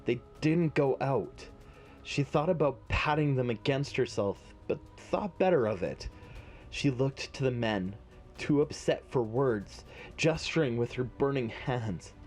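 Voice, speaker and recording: A man narrating a scripted fantasy story in a measured, dramatic way. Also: mid-range voice, animated, a little mellow, soft background music.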